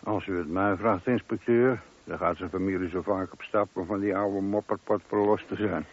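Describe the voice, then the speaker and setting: Low, husky middle-aged police sergeant. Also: male voice, steady tone, moderate pace. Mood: gossipy, matter-of-fact. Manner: talkative, colloquial, deferential. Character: dutiful, chatty older police sergeant, deferential but opinionated. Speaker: police sergeant in a detective radio play. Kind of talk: radio drama acting. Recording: dull, lo-fi old radio recording.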